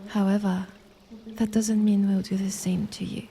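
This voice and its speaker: Woman playing a mellow, sinister siren. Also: medium pitch, flat delivery, moderate pace, breathy edge. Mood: sly, taunting. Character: taunting female siren, sweetly sinister sister. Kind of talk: dramatic audio roleplay.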